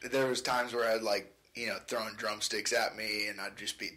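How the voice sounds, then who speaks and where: An American man in his twenties chatting casually as a podcast guest, in a halting, rambling, slangy way. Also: fairly low pitch, fairly even intonation, sharp tone. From United States, California. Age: 20s. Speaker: podcast guest, amateur fighter. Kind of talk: casual chat.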